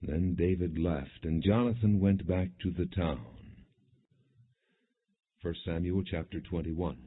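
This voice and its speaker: Casting note: male audiobook narrator, deep and husky, measured scripture storytelling on thin, band-limited audio. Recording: muffled narrowband, phone-like audio.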